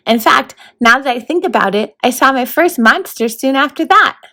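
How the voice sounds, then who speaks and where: A woman reading a children's novel aloud as a volunteer storyteller, in an expressive narrating style. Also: high voice, moderate pace.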